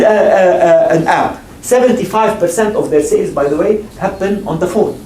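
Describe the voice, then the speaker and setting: A man's voice: high voice, dark tone, far from the mic. Emphatic man in an echoey hall. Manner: emphatic, repeats himself, frequent restarts. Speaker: panelist, entrepreneur and investor. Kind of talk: panel discussion and audience Q&A. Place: lecture hall. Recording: echoey hall, mic from afar, faint noise.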